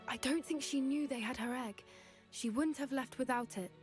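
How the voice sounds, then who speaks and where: A woman's voice: high voice, moderate pace, faint music behind, lightly acted. An actress playing an earnest, worried young witch in a video game, speaking seriously. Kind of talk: video game cutscene acting.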